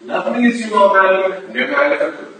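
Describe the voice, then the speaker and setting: A man's voice: high-pitched, fairly quick, sharp tone. A man playing a suave, flirtatious lord who boasts of his ballet patronage, florid and urbane, in a staged period drama reading. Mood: courtly. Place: staged reading in a large echoey room. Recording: echoey hall from far off, faint noise.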